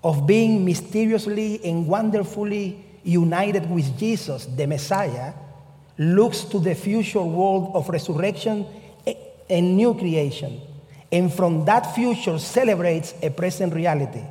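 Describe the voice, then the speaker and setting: Slow Cuban-accented pastor preaching, mellow voice. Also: male voice, high voice, long reverb tail. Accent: Cuban Spanish. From Cuba. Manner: deliberate, emphatic preaching with slow drawn-out phrases. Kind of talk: sermon.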